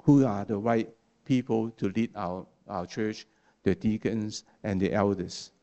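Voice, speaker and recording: Halting non-native preacher. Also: male voice, on the low side, steady tone, dark tone, muffled recording. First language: not English. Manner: halting, many restarts and fillers; ends points with "okay?". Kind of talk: sermon.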